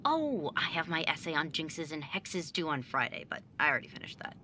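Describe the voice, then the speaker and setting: A woman's voice: sits low, sing-song, fairly quick, background noise. A young woman playing a sarcastic, blunt nineteen-year-old younger sibling in a naturalistic audio drama. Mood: defensive, weary. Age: young adult. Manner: sardonic, blunt, casual.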